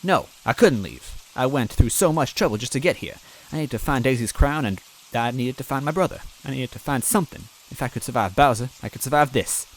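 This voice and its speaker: A man's voice: mid-range voice, a little fast, few pauses, slight background noise, low-quality recording. A man reading a fan story aloud in first person, earnest and timid in tone. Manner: earnest and timid, self-deprecating. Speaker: story reader.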